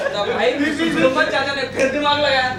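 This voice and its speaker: A man's voice: very high-pitched, moderate pace, a loud crowd. An actor playing a gossipy man telling a comic tale on stage, colloquially acting out each character's lines. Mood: amused, animated. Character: animated male gossip telling comic street-quarrel tale. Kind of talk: comic stage dialogue, storytelling. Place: theatre stage in an auditorium. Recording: very echoey hall, distant noisy mic.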